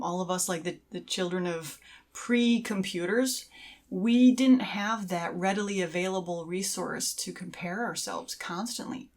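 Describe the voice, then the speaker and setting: A middle-aged woman chatting as a podcast co-host, agreeably explaining and giving casual advice. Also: fairly low pitch. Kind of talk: casual conversational advice on creativity.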